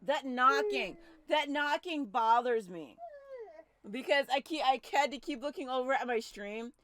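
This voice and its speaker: Woman game streamer, slightly high voice, unhurried pace, casual commentary with jumpy muttered reactions. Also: expressive, fairly bright tone, no echo. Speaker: streamer playing a horror visual novel. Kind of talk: casual livestream commentary and reading aloud.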